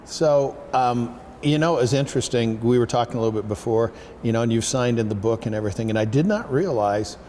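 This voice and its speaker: A man's voice: mid-range voice, fairly quick, few pauses, a little mellow, slight background noise. A man hosting an interview show, chatting casually with many fillers and friendly questions. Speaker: talk show host.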